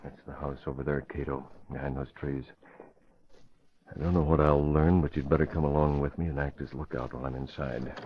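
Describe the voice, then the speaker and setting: An American man playing a calm, decisive publisher and secret vigilante in an old-time radio mystery, measured and commanding. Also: rumbling low, slight background noise. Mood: hushed, purposeful. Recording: dull vintage radio recording.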